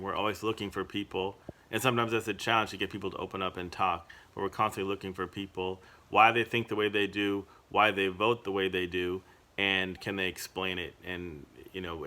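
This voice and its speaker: A man's voice: low-pitched, bright, forceful tone. A man from Massachusetts interviewing his relative casually, asking rambling questions full of "and so" and "you know". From Massachusetts, United States. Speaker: interviewer, journalist.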